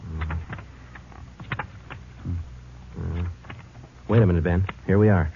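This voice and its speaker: An actor playing a calm, matter-of-fact American police detective in a radio crime drama, clipped and understated as he talks. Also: low voice, moderate pace, vocal fry, slight background noise. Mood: businesslike. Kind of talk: 1950s radio crime drama acting and narration. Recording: muffled old-time radio, music underneath.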